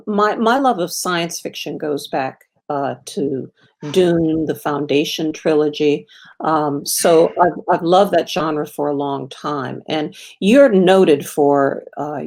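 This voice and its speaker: A woman's voice: sits low, a little mellow. A woman moderating a book panel as a critic, asking questions hesitantly with many ums and restarts.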